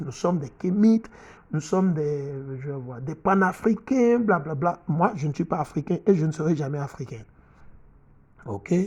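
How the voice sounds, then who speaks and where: A man's voice: fairly high pitch, a little mellow. A middle-aged man preaching and teaching from the Bible in an emphatic way, often repeating himself and asking his listeners if they follow.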